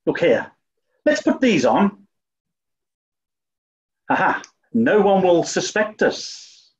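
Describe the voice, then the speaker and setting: An actor playing a dim-witted, snobbish English gentleman with an upper-class accent, flustered and hesitant, in a 1940s radio play style. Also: high voice, sing-song, slow, lo-fi sound, heavily acted. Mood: brisk.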